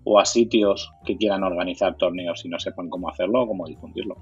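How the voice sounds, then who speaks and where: Castilian spanish guy answering interview questions, lowish voice, bit hesitant, dull lo-fi sound. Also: fairly even intonation, fast, darkish tone, husky, soft background music. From Madrid, Spain. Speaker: interviewee, board game community organizer.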